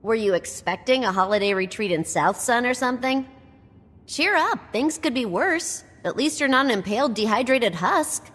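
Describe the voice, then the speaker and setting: A woman voicing a wry, bookish explorer-scholar in a video game, explaining things with dry dark humour. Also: a little high, expressive, moderate pace. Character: wry, nerdy female explorer-scholar, quick with dark jokes. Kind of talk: scripted video game dialogue. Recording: long hall reverb, game music behind. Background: game music.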